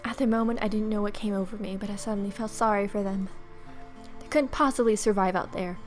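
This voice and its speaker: A woman's voice: mid-range voice, steady tone, quick pace. A young woman reading visual novel narration aloud as a let's player. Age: young adult. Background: game music.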